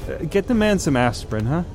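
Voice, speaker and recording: An actor playing a falsely affable corporate official, halting and casual, in an audio drama. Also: male voice, fairly high pitch, slight background noise. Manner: halting, casual, chuckling under threats. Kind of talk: naturalistic audio drama acting.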